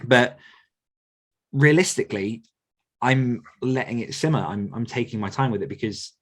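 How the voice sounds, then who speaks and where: A man's voice: medium pitch, normal speed. A man answering questions conversationally as an author coach, reflective and earnest, often circling back to rephrase himself.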